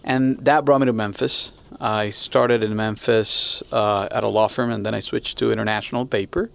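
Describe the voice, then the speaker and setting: Gravelly low-voiced man from Venezuela. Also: fairly even intonation, normal speed. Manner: hesitant, restarts often, friendly. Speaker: podcast guest. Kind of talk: conversational interview answers.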